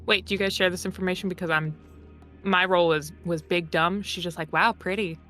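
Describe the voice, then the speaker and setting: A woman's voice: fairly low pitch, fairly even intonation, brisk, pushed and bright. A woman playing a tabletop roleplaying game, talking casually and playfully as one of the players. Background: music under the voice.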